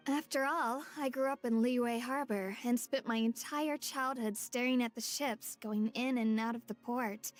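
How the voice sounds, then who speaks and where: A woman playing an earnest, hopeful, studious young scholar in a video game. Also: sits high. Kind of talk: scripted game character dialogue. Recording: faint music behind.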